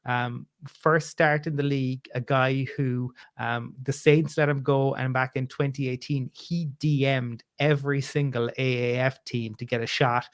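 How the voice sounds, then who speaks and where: A man's voice: medium pitch, dry recording, clean studio sound. An Irish man in his forties, a guest on a podcast, talking casually and rambling with many fillers and restarts. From Ireland.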